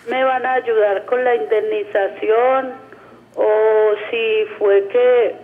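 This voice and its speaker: Slow elderly woman on the phone. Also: on the high side. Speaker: caller. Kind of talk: halting personal account. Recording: dull telephone line.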